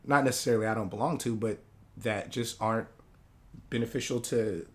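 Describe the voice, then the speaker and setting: A man's voice: on the low side, fairly quick. A young man, a guest artist, chatting casually in a comic shop in a loose, slangy, rambling way. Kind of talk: casual interview chat.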